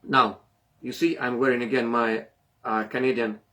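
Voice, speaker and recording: A man from Ukraine talks through an online data seminar at a middle pitch. He speaks with plenty of fillers and self-corrections, pausing as he searches for words in a language that is not his first. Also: level tone, sharp tone. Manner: hesitant, many fillers and restarts. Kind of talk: informal online seminar talk.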